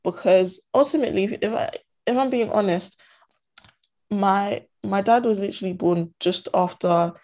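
A woman's voice: mid-range voice, moderate pace, a little mellow. A young woman from Ghana and Togo talking earnestly in a casual conversation, with many fillers and restarts. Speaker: student guest. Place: diaspora.

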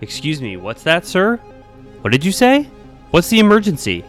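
A man's voice: a little high, wildly swooping pitch, moderate pace, voice pushed up. A female emergency operator, as a man storyteller puts her on. She is polite but puzzled and officious, with a raised, questioning voice over faint music. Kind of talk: storytelling character voice.

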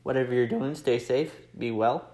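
A man's voice: medium pitch, steady tone, moderate pace, darkish tone. Chatty American man, casual podcast diary. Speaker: podcast host. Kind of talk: casual personal audio diary. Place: indoors at home, room with laminate floor.

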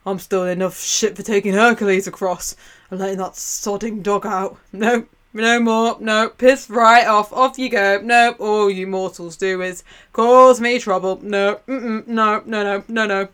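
A woman putting on a cranky old ferryman of the underworld who barks at intruders. He is loud, scolding and rude, his voice held at a middle pitch in a storytelling performance. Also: fairly even intonation, measured pace, clear, resonant tone, natural voice. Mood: angry refusal. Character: grumpy old male ferryman of the dead.

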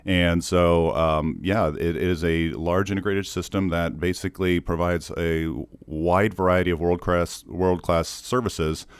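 A man's voice: low voice, flat delivery, unhurried, hardly any pauses, clean tone, no echo. A man in his forties who grew up in the Netherlands with Dutch as his first language, a podcast guest talking in a thoughtful, rambling way with restarts. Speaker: podcast guest, corporate communications leader. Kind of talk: casual interview chat.

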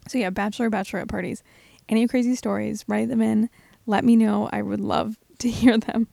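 A young woman hosting a casual advice podcast, her voice hoarse and raspy, chatting and laughing often while lying in bed at home. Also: on the high side, fairly even intonation, brisk, warm tone, dry recording, clean studio sound. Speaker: podcast host.

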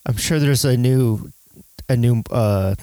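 A man's voice: mid-range voice, takes it slowly, mellow, a little hiss or hum. A man hosting a podcast, chatting casually in a nasal voice and rambling through lists. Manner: nasal, rambling list-making. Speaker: podcast host. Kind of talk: casual chat.

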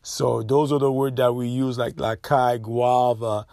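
A man's voice: medium pitch, level tone, unhurried, creaky, dark tone, no room sound, studio quality. A man hosting a solo podcast, opinionated and emphatic, often repeating himself. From Haiti. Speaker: podcast host.

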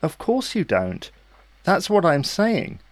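An actor playing a patient, reasonable husband in a domestic audio drama, with a gentle, reassuring, persuasive manner. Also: male voice, high voice, wildly swooping pitch, slow pace, dry recording, full character voice. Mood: gently persuading. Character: Harry, patient, reasonable husband and new father, coaxing.

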